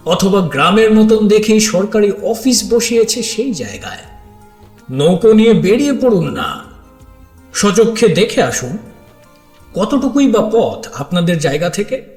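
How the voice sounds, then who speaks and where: A man narrates a story dramatically in the first person, speaking expressively and straight to his listeners. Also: sits high. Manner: expressive, addresses listeners directly. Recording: roomy echo with music underneath.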